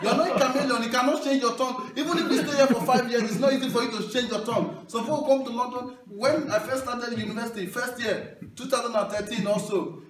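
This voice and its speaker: A young Nigerian man with a Nigerian accent performing stand-up, telling stories loudly and breaking into singing and shouting. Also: very high-pitched, quick pace. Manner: loud animated storyteller, sings and shouts punchlines. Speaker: stand-up comedian. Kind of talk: stand-up storytelling. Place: small indoor hall with wood panelling. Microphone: handheld mic, filmed from across the room. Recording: echoey room from far off.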